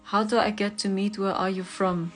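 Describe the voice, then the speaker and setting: A woman whose first language is German, hosting a casual spiritual livestream, reading viewer comments and laughing often. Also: medium pitch. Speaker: spiritual reader, livestream host.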